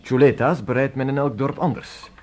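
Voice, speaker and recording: An actor playing an inquisitive, courteous Spanish traveller of nearly forty in a radio drama, speaking formally and wordily. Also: male voice, mid-range voice, steady tone, moderate pace, a little mellow, lightly acted. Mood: courteous, probing. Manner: formal, wordy, probing. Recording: noisy old radio play recording.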